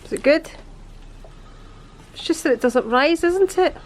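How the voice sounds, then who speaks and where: A woman's voice: high voice, expressive, measured pace, echoey room. A woman in her sixties chatting cheerfully to camera in her home kitchen, vlogging about her day. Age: 60s. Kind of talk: casual chat to camera.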